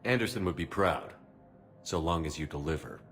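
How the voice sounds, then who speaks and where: Military commander, sci-fi game: deep low voice, direct, matter-of-fact, businesslike, music underneath. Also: male voice, animated, moderate pace, a bit bright, heavily acted. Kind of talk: cinematic game dialogue.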